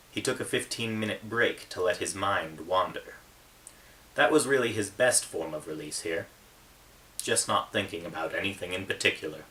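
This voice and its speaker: Guy author doing a book talk and reading, lowish voice but bright, kinda far from the mic. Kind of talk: casual book intro, then narrated reading.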